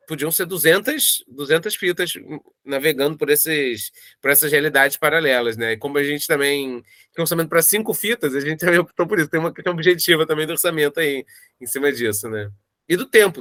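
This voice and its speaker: Bright, forceful Brazilian man thinking aloud. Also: on the high side, fairly quick, dry recording, studio quality. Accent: Brazilian Portuguese. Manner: rambling, thinking aloud, repeats words. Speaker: audio drama creator discussing his project. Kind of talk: casual making-of interview.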